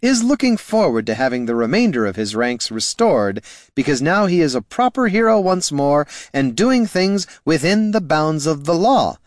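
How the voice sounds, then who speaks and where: A man's voice: fairly low pitch, big pitch swings, unhurried, dry recording, studio quality. A man voicing a composed female ranger who speaks firmly and pointedly, in a fantasy audiobook. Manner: firm and pointed.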